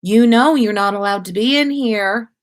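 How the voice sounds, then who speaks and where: A woman's voice: a little high, normal speed, voice pushed up, close to an everyday voice. A woman voicing a playful, doting young father who teases and mock-scolds in a story. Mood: playful, teasing.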